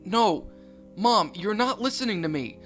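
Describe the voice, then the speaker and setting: Brief: man voicing a scared cartoon kid, high-pitched, urgent and pleading, bright tone. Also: expressive, unhurried, soft background music. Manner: desperate, pleading. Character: panicked young boy pleading with his mother.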